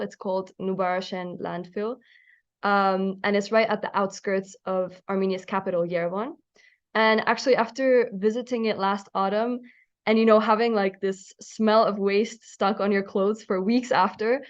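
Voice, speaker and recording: A woman's voice: mid-range voice, highs cut off. Young Finnish woman presenting eagerly. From Finland. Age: young adult. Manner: eager, fluent, uses fillers like "um" and "you know". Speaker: student panelist. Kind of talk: presenting a project on a panel.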